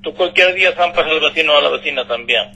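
A man's voice: fairly high pitch, fast, pushed and bright, poor audio. A man talking firmly and disapprovingly on a casual call over speakerphone. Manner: disapproving, firm. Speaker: pet owner receiving a call. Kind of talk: casual phone conversation. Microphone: phone call played over speakerphone. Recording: raspy telephone speaker sound.

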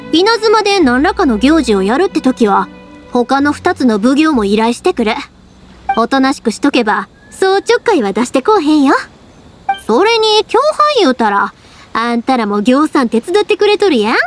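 A lively fireworks girl with a Kansai accent. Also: sits high, moderate pace. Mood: cheerful. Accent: Kansai dialect. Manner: lively, teasing, warm-hearted. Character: cheerful young woman fireworks maker. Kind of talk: video game voice acting. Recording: game audio with soft music.

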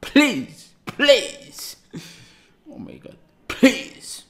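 A man in his thirties from Algeria with an Algerian accent, hosting a casual music reaction in halting, excitable English, talking into a close microphone in a small room. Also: extremely high pitch. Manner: excitable, halting non-native English with restarts. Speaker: reaction channel host. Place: small room with dark blue backdrop. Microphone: studio mic just in front of face. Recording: faint guitar music behind. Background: guitar music playing.

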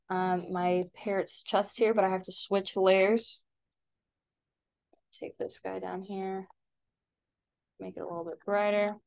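A woman with a creaky voice, an art teacher casually explaining software step by step in a tutorial voice-over. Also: mid-range voice. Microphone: screen-recording voice-over. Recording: dull, lo-fi voice-over.

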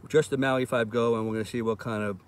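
A man's voice: medium pitch, steady tone, fast. A man giving casual product demo commentary as a gear reviewer, talking outdoors in the open. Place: open park lawn outdoors. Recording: outdoor recording with street traffic noise. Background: passing cars, outdoor ambience.

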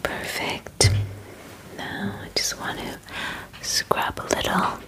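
A woman in her twenties doing a whispered ASMR personal-attention roleplay, gentle, soothing and breathy, close to the microphone. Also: slow. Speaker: ASMR roleplayer playing a werewolf spa groomer. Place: small indoor room. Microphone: close microphone, near the camera.

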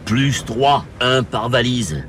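A sardonic male cab driver, medium in pitch. He grumbles curtly in radio drama style, with faint road noise behind. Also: lively intonation, slow, fairly bright tone. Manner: curt, put-upon. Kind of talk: French radio drama acting. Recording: faint car and street noise.

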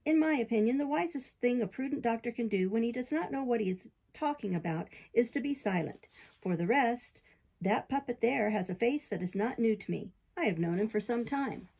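A woman's voice: on the high side, lively intonation, fairly quick, creaky, dark tone, breathy edge, exaggerated voice, rough recording. A woman voicing a blunt talking cricket doctor from a storybook, sententious and accusing.

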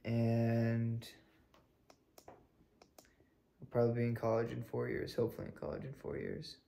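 A young man with a dark, gravelly voice who answers in a very flat, low-key way. A phone held at arm's length in a home living room records him. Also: normal speed. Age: young adult. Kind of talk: casual home video. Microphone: phone camera held at arm's length.

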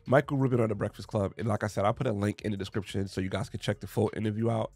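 A man's voice: on the low side, fairly even intonation, rapid-fire, darkish tone, no echo. A man in his thirties, getting over a cold, reacting to a clip from his small home studio with emphatic rhetorical questions. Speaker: commentary channel host. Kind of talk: reaction commentary. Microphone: studio mic on boom arm, headphones.

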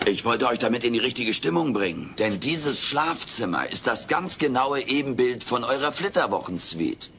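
Brash furry alien joker, lively swooping intonation, theatrical and mock-grand, sitcom audio drama. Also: male voice, medium pitch, normal speed, hardly any pauses, slight background noise. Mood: cheeky. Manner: theatrical, pompous, cheeky delivery. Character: brash wisecracking furry male alien playing hotel host. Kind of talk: dubbed sitcom audio play acting.

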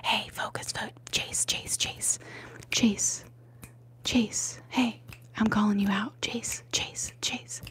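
A woman in her twenties speaks in a soft, breathy whisper. She takes her time, in the manner of an ASMR streamer chatting with viewers, often gently repeating short words and sounds. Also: medium pitch, steady tone, slow pace. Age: 20s, mid-twenties.

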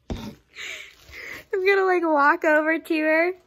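A woman's voice: very high voice, steady tone, very slow, fairly bright tone. A teenage girl vlogging in a rambling, giggly, jokey way, chatting casually into a phone held at arm's length at home. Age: teens. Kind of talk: casual chat to camera. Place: rooms at home.

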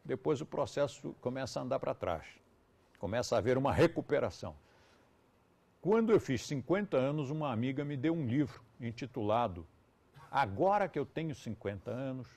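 A man's voice: medium pitch, expressive, fairly quick, a little mellow. A man in his seventies with a Brazilian Portuguese accent, giving a live lecture to students in a conversational way with ironic asides. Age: 70s. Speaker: yoga teacher.

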